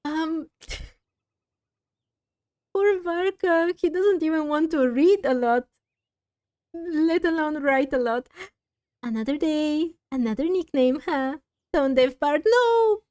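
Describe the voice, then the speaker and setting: A woman with a high-pitched voice comments casually on a game she is playing. She thinks aloud and questions what she sees. The sound is dry, with hardly any room in it. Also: takes it slowly, long pauses, a little mellow, no echo. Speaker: game streamer.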